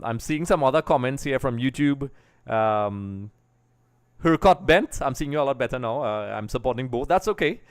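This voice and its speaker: Clear-voiced man hosting a livestream. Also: medium pitch. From Trinidad and Tobago. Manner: chatty, rambling, addressing viewers directly. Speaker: livestream host. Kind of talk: casual football watch-along commentary. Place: small home streaming room. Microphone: desk microphone on a boom arm.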